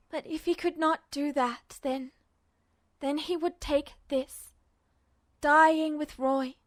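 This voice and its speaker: Female story narrator, high voice, dramatic fiction reading, dry close sound. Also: dry recording.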